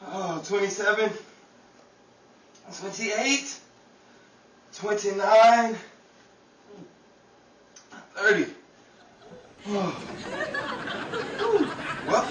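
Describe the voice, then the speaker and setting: Man acting a high-pitched, comically blustering glutton. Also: very slow, long pauses, fairly bright tone, some chatter behind, heavily acted. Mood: self-satisfied. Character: guilty, blustering gluttonous man making flimsy excuses. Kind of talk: 1930s radio comedy acting. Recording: echoey, noisy, distant stage recording with audience.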